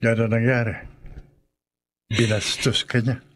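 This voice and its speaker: An actor playing a calm, unbending husband who refuses to help his wife, in a radio drama. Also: medium pitch, unhurried. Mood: cold. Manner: calm and dismissive.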